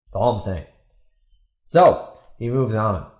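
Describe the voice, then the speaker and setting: A man's voice: lowish voice, animated, slow, a little mellow, reverberant. A man hosting a podcast, giving opinionated commentary in a sarcastic, emphatic way and repeating himself for stress. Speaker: podcast host and author.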